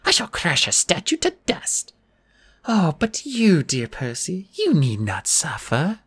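A woman's voice: fairly high pitch, wildly swooping pitch, normal speed, husky, no room sound, pitched above the performer's own voice, exaggerated voice. A woman voicing a sinister old female monster in a storybook, coaxing and purring with a snarl underneath. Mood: coaxing, menacing. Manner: coaxing purr that breaks into snarls.

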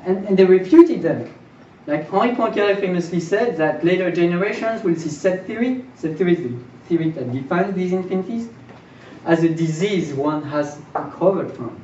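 A young man with a slightly high voice lectures informally, posing questions and checking in with his listeners. He is picked up from a distance in a small seminar room, with strong echo. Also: lively intonation, moderate pace, dark tone. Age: young adult. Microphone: far camera. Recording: distant roomy mic, dull, faint noise.

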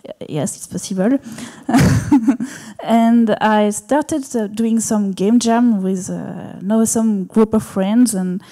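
Slow, halting woman on a panel. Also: fairly high pitch, mellow. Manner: hesitant, halting, with restarts and laughs. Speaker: panelist, animation director and game artist. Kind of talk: live panel talk. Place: small venue stage before an audience.

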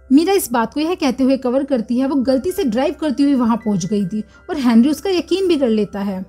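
A woman narrating a film recap in a steady, scripted storytelling manner. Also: fairly high pitch. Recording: voice-over with faint music behind.

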